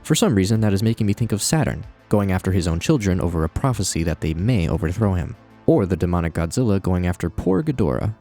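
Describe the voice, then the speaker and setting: A man with a low voice narrates a video essay as its host. His commentary is conversational and animated, with wry asides, over music. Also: quick pace, hardly any pauses.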